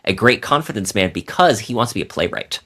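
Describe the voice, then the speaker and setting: Articulate low-voiced man, lively intonation. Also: fast talker. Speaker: podcast guest, adventure novelist. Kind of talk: conversational interview, explaining his writing.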